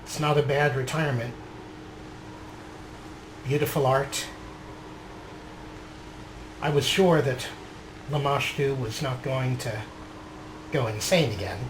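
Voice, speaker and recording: A man's voice: mid-range voice, steady tone, slow, long pauses, background noise. A man narrating a video game series as its host, partly reading in character. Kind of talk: scripted in-character journal narration and show commentary.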